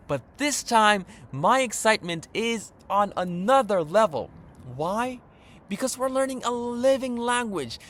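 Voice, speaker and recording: A man's voice: high voice, a bit bright, slight background noise. A man in his thirties with a Japanese accent, hosting a language lesson in an eager, earnest, upbeat way. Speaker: channel host. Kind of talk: enthusiastic vlog-style lesson intro.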